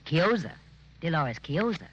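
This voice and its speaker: A woman's voice: low-pitched, very animated, a little slow, slightly rough, full character voice. An American woman playing a cool, sardonic band singer in an old radio detective drama, dry and teasing, on a muffled recording. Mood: amused, dry. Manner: dry, teasing, self-assured. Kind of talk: 1940s radio acting.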